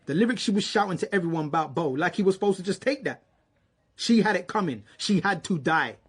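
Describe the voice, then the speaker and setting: Husky, cocky young suspect. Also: male voice, sits high, animated, fast talker, fairly bright tone, heavily acted. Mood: cold, boastful. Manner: swaggering, slangy, taunting. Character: cocky, menacing young male murder suspect. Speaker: actor in a police radio drama. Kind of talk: naturalistic radio drama acting.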